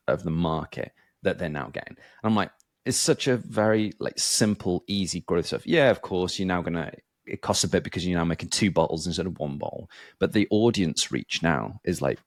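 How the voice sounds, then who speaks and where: Deep-voiced man chatting on a podcast. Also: moderate pace, dry recording, studio quality. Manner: rambling, filler-heavy, many restarts. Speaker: podcast host. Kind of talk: casual chat.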